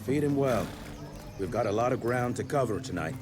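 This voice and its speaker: An actor playing a gruff, sardonic older cowboy vampire hunter in a video game, with a dry, wry delivery. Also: male voice, mid-range voice, expressive, a little fast, lightly acted.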